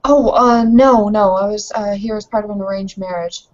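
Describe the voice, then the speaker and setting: A woman's voice: mid-range voice, steady tone, moderate pace, a little mellow, lightly acted. A woman playing a candid, hesitant young newlywed bride who is frustrated, in improvised tabletop roleplay. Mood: sheepish, candid. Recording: dull lo-fi voice recording.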